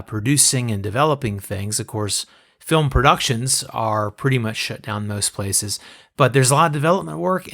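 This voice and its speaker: Male podcast host, hoarse and raspy, gravelly fry, measured and reflective. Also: medium pitch, fairly bright tone. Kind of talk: podcast introduction.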